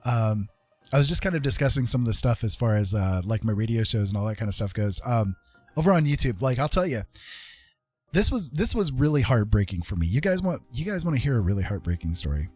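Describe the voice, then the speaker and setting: A man's voice: mid-range voice, very animated, fairly quick, darkish tone, no echo, soft background music. A man hosting a livestream, chatting casually and personably to viewers in a rambling way. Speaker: livestream host.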